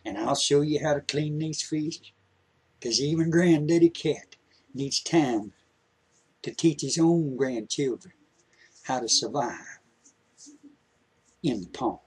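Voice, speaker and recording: A man's voice: mid-range voice, animated, takes it slowly, long pauses, husky, heavily acted, rough recording. A man voicing a patient, remorseful old grandfather, speaking tenderly and repeating his words. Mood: gentle.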